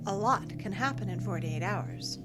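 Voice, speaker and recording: An actress playing a cool, patient, quietly menacing interrogator with measured, insistent questions in an audio drama. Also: female voice, mid-range voice, lively intonation, lightly acted. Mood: probing, cool. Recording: loud music behind.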